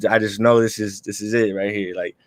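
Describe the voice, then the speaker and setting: A man's voice: fairly low pitch, steady tone, a little fast, no room sound. A young man in his early twenties, a guest rapper, talking in a laid-back, slangy way during a casual interview. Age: 20s.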